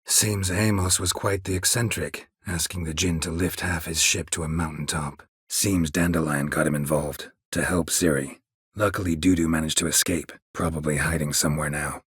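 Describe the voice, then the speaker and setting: A man voicing a gruff, stoic, dry-witted monster hunter in a video game, in a husky rasp with a terse, deadpan manner. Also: rumbling low, normal speed, no echo, exaggerated voice. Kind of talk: video game character dialogue.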